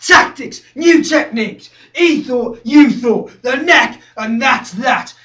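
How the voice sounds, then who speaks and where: A young skinhead lad, intense stage monologue, slow and repetitive, confrontational, far from the mic in an echoey room. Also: male voice, high voice, expressive, full character voice. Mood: fierce, insistent. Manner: obsessive, confrontational, repetitive, swinging from hushed to shouting. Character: intense young male skinhead street fighter reliving his violent past. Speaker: actor performing a stage monologue. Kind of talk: theatrical dramatic monologue, direct address. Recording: roomy echo, distant microphone.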